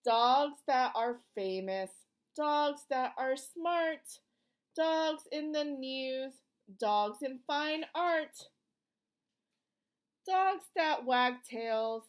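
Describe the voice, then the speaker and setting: High-pitched woman reading to young children. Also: lively intonation, extremely slow. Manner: encouraging, sing-song, asks questions and praises listeners like a preschool teacher. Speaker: children's read-aloud host, teacher-like. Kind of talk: interactive picture-book read-aloud for young children.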